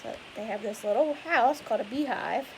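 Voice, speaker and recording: A woman with a fairly high voice reads a children's picture book aloud like a teacher. She explains patiently in simple words and asks the listener questions. There is some hiss behind her. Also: brisk. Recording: clear background hiss and noise.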